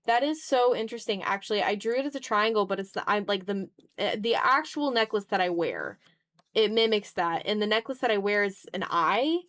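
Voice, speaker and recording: A tired woman with a mid-pitched voice hosts a casual drawing stream. She talks in a self-deprecating way, drifting into mutters to herself. The sound is close and dry, with very little room in it. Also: very animated, fairly quick, fairly bright tone, dry recording. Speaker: art livestreamer and host. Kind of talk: casual livestream chat while drawing.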